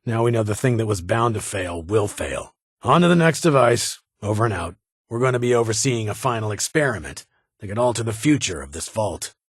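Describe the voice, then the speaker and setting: Game casting: duty-bound army colonel, lowish and very husky, formal, guarded, clipped military speech. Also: male voice, animated, fairly quick, dry recording, studio quality. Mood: earnest, resolute. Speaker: video game voice actor. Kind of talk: video game dialogue acting.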